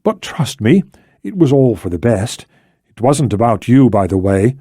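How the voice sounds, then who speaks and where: Determined female operative played by a male narrator, emphatic audiobook dialogue, animated, dry sound. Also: medium pitch, normal speed, slightly rough, dry recording. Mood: guarded. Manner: insistent, emphatic. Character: determined, defensive young female intelligence operative.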